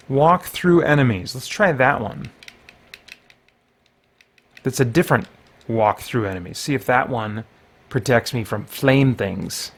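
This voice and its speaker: A man who hosts a gaming and faith channel, talking in a casual commentary style as if thinking aloud to himself. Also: medium pitch. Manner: talks to himself while playing. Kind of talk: casual gameplay commentary and devotional talk.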